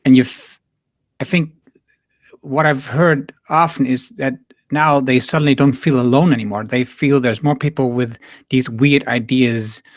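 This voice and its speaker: A man hosts a podcast interview, speaking casually with hesitations and fillers. His voice is middle-pitched, and the recording is dry and close, with little room sound. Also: a little slow, no room sound. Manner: conversational, hesitant with fillers, animated when quoting others. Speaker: podcast host.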